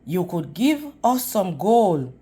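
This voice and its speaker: A woman's voice: lowish voice, sing-song, takes it slowly, mellow. A woman voicing an earnest, frustrated boy in a storybook, blunt and protesting. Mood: pleading. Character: earnest, frustrated boy who loves baseball.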